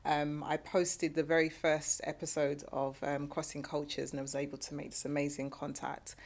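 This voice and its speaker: A woman hosts a casual podcast conversation. Her voice is very low for a woman. She keeps things friendly and chatty, with plenty of easy fillers. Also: little pitch movement, moderate pace. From South London, England. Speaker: podcast host. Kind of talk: casual interview chat.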